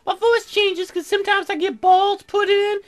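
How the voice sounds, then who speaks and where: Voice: very high-pitched, flat delivery, normal speed, pitched above the performer's own voice. A gamer voicing a brash, crude female doctor making radio announcements in a visual novel. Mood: brash, announcing.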